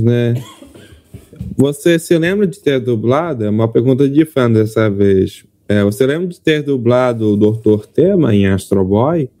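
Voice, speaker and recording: A man's voice: medium pitch, mellow. A man with a Brazilian accent hosting a casual podcast interview. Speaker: podcast host. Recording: music in the background.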